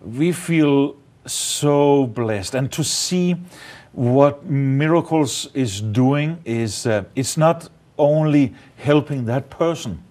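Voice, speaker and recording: A man's voice: medium pitch, mellow. A man from Denmark with a Danish accent, telling stories as a guest in a studio interview, with many fillers. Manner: halting, many fillers, non-native grammar. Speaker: evangelist guest. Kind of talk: interview testimony. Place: studio set, indoors. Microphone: lapel mic.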